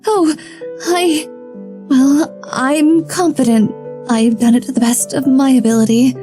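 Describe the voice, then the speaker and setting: A woman's voice: sits high, steady tone, a little slow, soft background music. A woman playing a sweet, innocent young fire genasi in a fantasy audio drama, hesitant and polite. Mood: flustered. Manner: hesitant, polite, soft-spoken.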